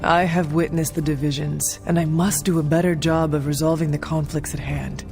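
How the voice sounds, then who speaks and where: An actor playing a solemn, courteous warrior leader from another age in a video game, with formal, old-fashioned wording. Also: male voice, fairly high pitch, level tone, normal speed, gravelly. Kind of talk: video game cutscene acting. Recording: music playing behind.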